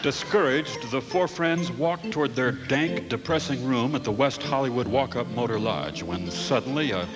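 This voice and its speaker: A man narrating a scripted comic radio story in a deadpan, mock-dramatic storyteller manner. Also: medium pitch, normal speed, few pauses, low-quality recording. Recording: loud music behind narration.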